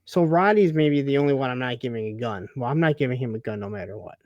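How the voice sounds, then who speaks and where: A man's voice: mid-range voice, fast talker, darkish tone, no echo, muffled recording. A man co-hosting a TV recap in casual chat, picking at the story with rhetorical questions. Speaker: co-host of a TV recap show.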